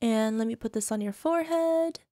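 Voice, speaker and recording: A woman's voice: fairly high pitch, expressive, moderate pace, mellow, a little hiss or hum, natural voice. A woman playing a doting, possessive young yandere wife in an ASMR roleplay, cooing and coaxing the listener with a controlling edge. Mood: tender, possessive.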